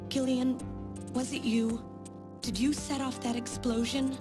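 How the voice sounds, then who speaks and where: A woman voicing a grave, sorrowful scientist in a video game, telling of a dark past with dramatic delivery. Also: high voice, level tone, unhurried, over music.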